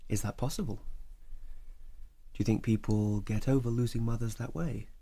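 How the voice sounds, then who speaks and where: A man playing a calm, probing psychiatrist in an audio drama, measured and soft-edged, with a husky voice. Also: mid-range voice. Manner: measured, gently challenging.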